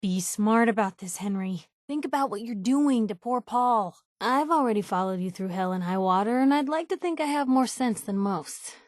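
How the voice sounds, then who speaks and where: A woman's voice: a little high, animated, moderate pace, hardly any pauses, darkish tone, no echo, studio quality, lightly acted. An actress playing a tenacious young American woman reporter in a post-apocalyptic video game, with sarcastic, snappy, streetwise banter. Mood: firm, pleading. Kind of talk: video game character dialogue.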